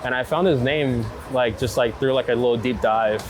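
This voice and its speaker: A man with a middle-pitched voice chats in a loose, slangy, enthusiastic way, as a photographer being interviewed. He is outdoors in the city, with clear traffic and street noise around him. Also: normal speed, poor audio. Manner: enthusiastic, informal, slangy with heavy use of "like". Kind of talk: casual chat, unscripted interview while out walking. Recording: loud urban street ambience, traffic noise. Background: city traffic and street ambience.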